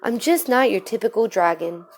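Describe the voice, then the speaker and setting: Woman as a gentle, downcast little boy dragon. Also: mid-range voice, animated, moderate pace, lightly acted. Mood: dejected. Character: seven-year-old boy dragon, gentle and discouraged.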